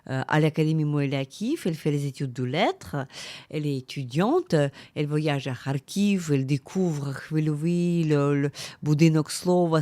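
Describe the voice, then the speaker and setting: A woman's voice: deep voice, mellow. A woman, hosting an interview podcast; she prompts the guest and finishes her sentences. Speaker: podcast host. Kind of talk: interview conversation.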